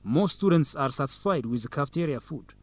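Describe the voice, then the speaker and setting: An actor playing a manipulative young student council president in a radio drama, formal, measured and insistent. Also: male voice, fairly high pitch, lively intonation, no echo, natural voice. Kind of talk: educational radio drama acting.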